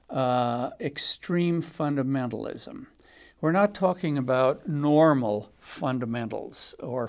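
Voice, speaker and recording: A man in his seventies hosting a local TV panel discussion, speaking haltingly with frequent pauses and fillers. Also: medium pitch. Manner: hesitant, many filler pauses. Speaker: talk show host.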